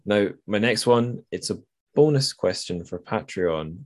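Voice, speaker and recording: A man's voice: lowish voice, expressive, unhurried. A man hosting a podcast in a casual, conversational style, informally thinking aloud as he goes. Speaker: podcast host.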